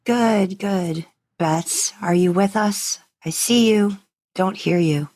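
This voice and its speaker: A woman's voice: mid-range voice, slow, darkish tone. A woman hosting a podcast, chatting casually and interviewing guests. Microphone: video call.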